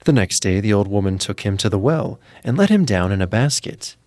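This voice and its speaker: Male narrator for fairy tales: lowish voice, animated intonation, scripted delivery. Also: a little fast.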